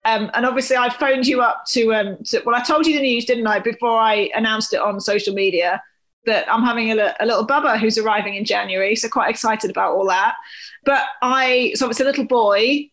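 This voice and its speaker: A woman's voice: on the high side, fairly quick, fairly bright tone. A British woman co-hosting a podcast in casual, chatty, informal conversation. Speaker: podcast co-host.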